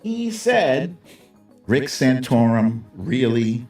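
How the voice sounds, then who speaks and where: A man's voice: mid-range voice, big pitch swings, very slow, a little mellow. A man hosting a live political commentary stream in a casual, opinionated, sardonic conversational way, with salty slang. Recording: roomy echo, distant mic, music behind.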